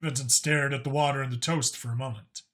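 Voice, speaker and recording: Bright-voiced male audiobook narrator. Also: medium pitch, fairly even intonation, a little fast.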